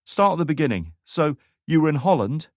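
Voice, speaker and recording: A man's voice: fairly high pitch, very animated, brisk, darkish tone, no echo, pitched above the performer's own voice. A wisecracking young teammate teases his friends with a lifted, playful voice, as a male narrator voices him. The read is dry and close, in audiobook style. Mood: teasing. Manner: teasing, coaxing.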